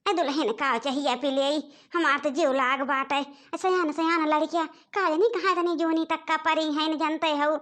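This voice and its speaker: A woman's voice: high-pitched, fairly even intonation, moderate pace, hardly any pauses, a bit bright. A woman voicing a worried, scolding village mother-in-law in a cartoon comedy, with an Awadhi accent and a lamenting, nagging manner. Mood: fretful. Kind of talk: animated comedy dialogue.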